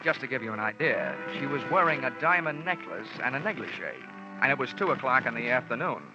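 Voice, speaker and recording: An actor playing a wisecracking hard-boiled investigator. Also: male voice, medium pitch, animated, brisk, lightly acted. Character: wisecracking male insurance investigator, dry and sardonic. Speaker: narrator and lead detective. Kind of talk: 1950s radio drama acting. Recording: dull old radio recording with music.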